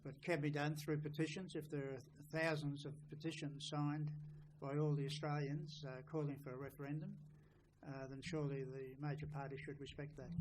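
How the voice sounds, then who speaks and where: Higher-pitched man, flat delivery, noisy room. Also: fairly quick. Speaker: panellist. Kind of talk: public panel debate. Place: public meeting room. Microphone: event microphone.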